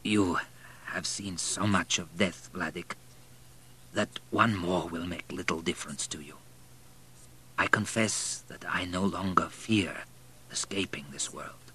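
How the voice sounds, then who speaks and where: Old blind baron on his deathbed for an audiobook, played by a man. Low, frail, whispery, labouring over words, dignified and resigned. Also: fairly even intonation, pushed and bright. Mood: weary, resigned. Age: older. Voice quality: weak, breathy, fading to whispers. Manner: slow, labouring, commanding.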